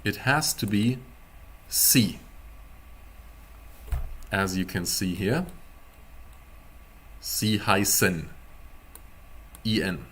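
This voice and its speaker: A man giving a casual live-streamed language lesson as a teacher, explaining word by word in a halting, deliberate way. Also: lowish voice, expressive, fairly bright tone, slight background noise. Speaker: German teacher.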